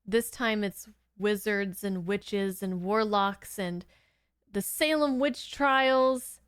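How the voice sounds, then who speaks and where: A woman's voice: medium pitch, slow pace, clean tone, no room sound, clean studio sound. A woman giving casual reaction commentary in an informal, giggly, rambling way. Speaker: host of a reaction channel.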